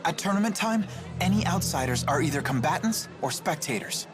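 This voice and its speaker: A male actor playing an earnest teenage student in a video game, measured, gentle but firm. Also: on the high side, animated, over music, close to an everyday voice. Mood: firm, accusing.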